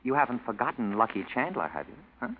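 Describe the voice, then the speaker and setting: An actor playing a slick, mercenary lawyer in a 1940s radio drama, glib and insinuating, on a thin old recording. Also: male voice, mid-range voice, very animated, quick pace, narrow, tinny audio, slight background noise. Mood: knowing, warning. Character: slick, mercenary male lawyer, brisk and knowing.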